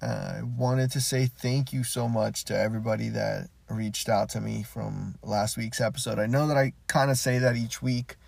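A man's voice: mid-range voice, fairly even intonation, normal speed, gravelly, hardly any pauses, no echo. A man hosting a casual solo podcast from his parked car, a native Spanish speaker, talking in a rambling, laid-back way with frequent restarts. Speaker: podcast host.

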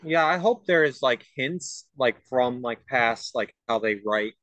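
A man's voice: mid-range voice, lively intonation, unhurried, fairly bright tone, no room sound. A young man as a podcast guest in casual fan chat, talking enthusiastically in fragmented phrases. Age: young adult.

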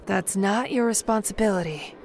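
An actress playing a weary, loyal traveling companion in a radio drama, with a dry, casual, understated delivery. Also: female voice, mid-range voice, normal speed, a little mellow, natural voice. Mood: warm, weary.

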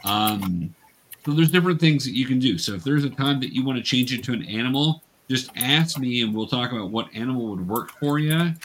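A man's voice: medium pitch, animated, fairly quick, slight background noise. A man explaining and narrating a tabletop game as game master, casually and patiently.

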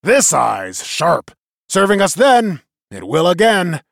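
A man's voice: high-pitched, huge pitch swings, slow pace, gravelly, dry recording, clean studio sound, voice pushed up, heavily acted. A man voicing a one-eyed male veteran who speaks in a blunt, stubborn, emphatic way.

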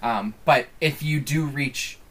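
A man's voice: mid-range voice, fairly bright tone, husky. A man co-hosting a podcast, teasingly explaining a game penalty in a casual tone. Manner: teasing, deliberate rule-setting. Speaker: podcast co-host.

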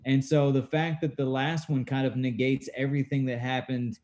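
A man's voice: mid-range voice, flat delivery, normal speed, darkish tone. A man in his thirties, a guest in a casual chat, telling stories in a laid-back, self-mocking way. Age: 30s.

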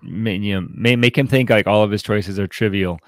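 A man co-hosting a podcast and casually discussing a book, haltingly, as if thinking aloud. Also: on the low side, dry recording, clean studio sound. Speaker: podcast co-host.

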